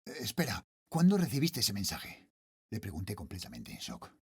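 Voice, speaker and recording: A man acting as a low-voiced haunted father, Spanish accent. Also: wildly swooping pitch, moderate pace, slightly rough, full character voice. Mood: shocked. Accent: Spain. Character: frightened father, night security guard haunted by a ghost. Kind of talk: scripted first-person horror narration, audio drama.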